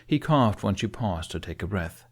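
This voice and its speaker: A man narrates a fantasy story in a fairly low voice. His delivery is scripted and expressive, in the manner of an audiobook. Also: normal speed.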